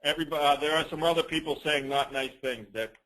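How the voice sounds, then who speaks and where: A man presenting a business training call as an informal, rambling software demo, with teasing, self-deprecating asides. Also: fairly high pitch, fast talker, low-quality recording.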